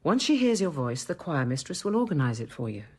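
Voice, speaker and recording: A patient convent apothecary in middle age for a radio drama. Her voice sits low and has a husky grain. She guides in a measured, devout, gently instructive manner. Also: sing-song, heavily acted. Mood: gentle, measured.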